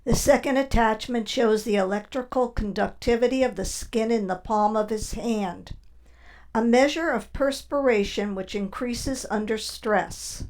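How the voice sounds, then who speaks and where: A clinical scientist with a fairly high voice, acted by a woman in a radio play. She explains each step in precise, formal terms. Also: unhurried, darkish tone. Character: clinical female scientist conducting a formal test. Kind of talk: community radio theater acting.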